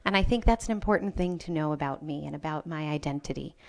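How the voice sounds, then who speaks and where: A woman's voice: deep voice, fast talker, vocal fry, darkish tone. A woman from the United States with an American accent tinged with Israeli sounds, a rabbi speaking personally and thoughtfully on a panel to a live audience.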